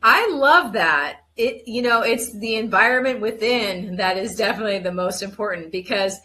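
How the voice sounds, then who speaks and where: Woman host, chatty and upbeat, rambles a bit, mid pitch. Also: normal speed, sharp tone. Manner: enthusiastic, chatty, rambling storytelling. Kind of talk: hosted interview with guest introduction.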